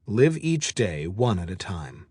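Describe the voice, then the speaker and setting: Man narrating audiobook, lively intonation, dry sound. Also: low-pitched, a little slow, slightly rough, dry recording. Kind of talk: scripted audiobook narration of a meditation and self-help book.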